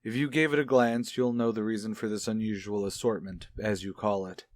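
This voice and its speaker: A man playing an inquisitive child psychologist, formal and deliberate, in a radio soap opera. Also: lowish voice, fairly even intonation, dry recording, clean studio sound, voice pushed down, natural voice. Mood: measured, curious.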